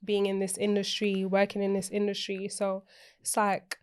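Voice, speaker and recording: A woman from Portugal, a guest co-founder, speaking in a thoughtful, measured way. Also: medium pitch, monotone, a little mellow. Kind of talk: podcast interview.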